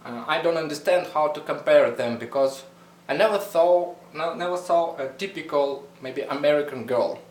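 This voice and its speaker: Blunt swearing Russian-accented man, echoey. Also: a little high. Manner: blunt, swears a lot, halting non-native English. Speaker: vlogger. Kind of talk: casual rambling vlog. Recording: echoey room, mic at a distance, faint hum.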